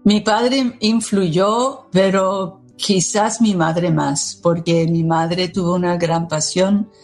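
Slow, even-toned American woman. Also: lowish voice, faint music behind. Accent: American English. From United States. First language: English. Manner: measured, thoughtful, pausing between phrases. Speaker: poet, interviewee. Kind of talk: reflective interview answers.

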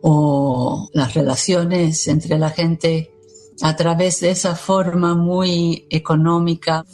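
Slow, even-toned American woman. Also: deep voice, soft background music. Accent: American English. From United States. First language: English. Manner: measured, thoughtful, pausing between phrases. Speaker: poet, interviewee. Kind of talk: reflective interview answers.

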